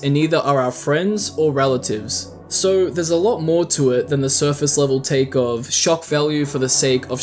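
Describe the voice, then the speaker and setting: A man delivering scripted video essay commentary in a conversational, opinionated way. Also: fairly high pitch. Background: background music.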